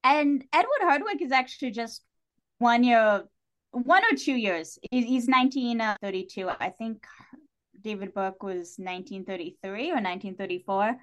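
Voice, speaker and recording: A woman's voice: a little high, expressive, normal speed. A woman talking casually and analytically as a guest on a podcast.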